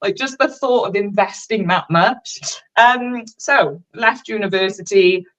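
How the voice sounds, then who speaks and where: A woman's voice: medium pitch, a little slow, a bit bright, lo-fi sound. A woman in her thirties with a Welsh accent, a fashion designer chatting candidly and casually as a guest on a livestream, poking fun at herself and letting the odd swear slip. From Wales. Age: 30s. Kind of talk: casual livestream interview chat.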